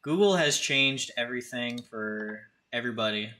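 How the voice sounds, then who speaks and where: A man's voice: medium pitch, normal speed, pushed and bright. A man in his thirties hosting a casual livestream, talking informally and directly to his viewers. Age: 30s. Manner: informal, conversational, addresses chatters directly. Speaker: live streamer, host.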